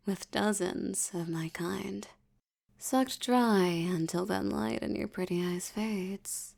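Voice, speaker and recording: A woman playing a possessive, seductive vampire in an intimate audio roleplay, teasing and commanding, with a breathy voice that often drops toward a whisper. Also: lowish voice, darkish tone, full character voice. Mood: coolly menacing, bargaining. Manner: slow, teasing, commanding, condescending. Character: dominant, possessive, seductive wealthy female vampire.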